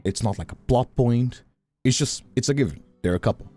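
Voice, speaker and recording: A man chatting casually on a livestream, emphatic and animated, often repeating himself as he makes a point. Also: mid-range voice, brisk, faint music behind. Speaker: streamer. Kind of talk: casual conversational chat on a livestream.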